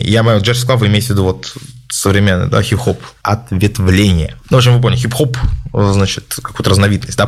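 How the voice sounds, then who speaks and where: A man hosting a casual question-and-answer session, giving his opinions in a measured way with many hesitant filler sounds. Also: fairly low pitch, creaky. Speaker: host answering viewer questions. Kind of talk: casual spoken Q&A.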